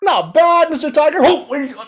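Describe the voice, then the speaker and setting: Squeaky, very high-pitched boastful little frog. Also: male voice, a crowd in the background. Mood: cheeky. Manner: excitable, bragging, repeats words in quick bursts. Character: small cheeky boastful male frog, quick-witted trickster.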